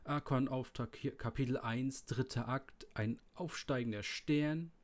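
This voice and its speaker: A man's voice: mid-range voice, measured pace. A man giving casual, laid-back let's play commentary, thinking aloud as he goes.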